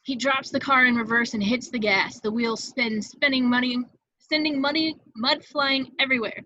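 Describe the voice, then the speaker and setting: A woman's voice: sits high, moderate pace, pushed and bright, no room sound, lo-fi sound. A woman reading scripted stage directions as the narrator of a table read, in a vivid storytelling manner.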